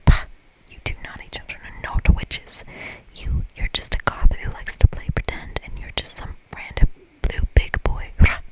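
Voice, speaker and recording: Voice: normal speed, natural voice, poor audio. A mean, ancient witch of the woods acted out by an ASMR reader, entirely whispered. The delivery is theatrical and scolding, full of pompous outbursts, like a storybook villain in a game. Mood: indignant, scornful. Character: mean, very old forest witch who eats children.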